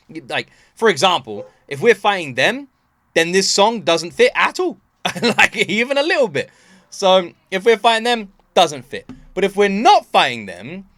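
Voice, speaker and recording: A man's voice: on the high side, fairly bright tone, no room sound. A man hosting a casual reaction video, rambling expressively and repeating himself for emphasis. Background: game soundtrack.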